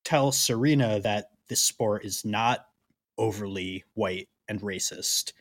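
Low-voiced man in dry podcast chat. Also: slow pace, dry recording. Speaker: podcast co-host. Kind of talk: casual sports podcast chat.

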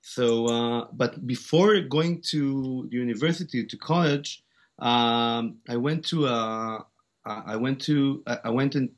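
A man's voice: medium pitch, measured pace. A man in his forties from Israel with an Israeli accent, a startup founder speaking as an interview guest, hesitant with many fillers and restarts. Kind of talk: interview conversation.

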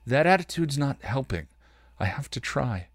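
A man voicing an anxious young woman slayer in a story reading, halting and urgent. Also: medium pitch, very animated, slightly rough, dry recording, clean studio sound, voice pushed up.

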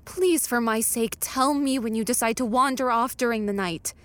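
Woman acting a high-voiced anxious fiancée. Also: moderate pace, lightly acted. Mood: worried, relieved. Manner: fretful, gently chiding. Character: anxious, affectionate young fiancée. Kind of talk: radio play acting.

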